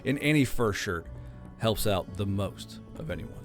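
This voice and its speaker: A man's voice: fairly low pitch, lively intonation, measured pace, soft background music. A man who reads his own stories on his channel, then talks casually in a rambling, joking, sometimes profane way. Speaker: storyteller and channel host. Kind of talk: audiobook narration and casual outro.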